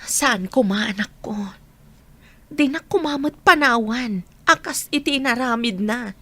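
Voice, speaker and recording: A patient young mother who has been hurt , played by a woman, in an Ilocano radio drama. Her voice is fairly high and bright, and she speaks earnestly. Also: animated, a little slow, dry recording, heavily acted.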